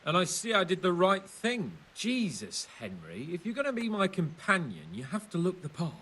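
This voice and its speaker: A man's voice: high voice, wildly swooping pitch, moderate pace, sharp tone, distant mic, a little hiss or hum, exaggerated voice. An actor playing a cocky, vain young nobleman in a medieval video game, teasing and condescending. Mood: smug teasing. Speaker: young lord.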